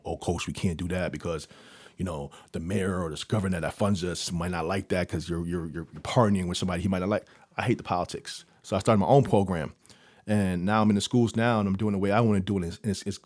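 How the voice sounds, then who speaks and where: A man born in Jamaica who mentors youth, talking in a casual interview with lots of quick restarts and repeated words. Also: deep voice, darkish tone, no echo.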